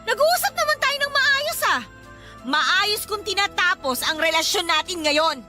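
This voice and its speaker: A woman playing an irritable, fed-up, sharp-tongued girlfriend in a Filipino radio drama, with a Filipino accent and a scolding manner. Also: extremely high pitch, expressive, a little slow. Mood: defiant, irritated. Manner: snappish, scolding, exasperated. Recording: music behind the voice.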